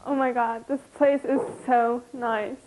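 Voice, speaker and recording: A woman's voice: fairly high pitch, fairly even intonation, slow, a little mellow, a little hiss or hum, rough recording. An eighteen-year-old woman, a model from Germany raised in Vienna, talking in a chatty, gushing way for a reality show. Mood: thrilled. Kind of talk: casual interview and house tour.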